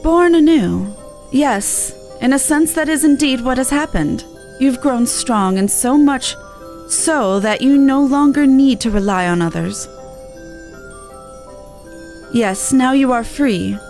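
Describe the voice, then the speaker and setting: An earnest, courtly teenage artisan from a fantasy game, voiced by a woman. The voice is high and the phrasing formal and old-fashioned, with clear music behind it. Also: lively intonation, takes it slowly, a little mellow, exaggerated voice. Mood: solemn wonder. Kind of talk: video game dialogue read aloud.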